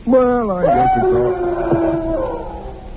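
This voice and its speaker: A man's voice: very high voice, big pitch swings, very slow, heavy background noise, heavily acted. An American man acting an ordinary, weary, dismissive husband in a 1940s radio drama. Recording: dull vintage radio recording, dramatic music.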